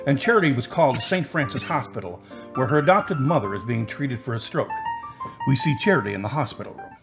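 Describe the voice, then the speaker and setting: A man giving scripted radio drama narration in an echoing space. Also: medium pitch. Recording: roomy, echoing recording.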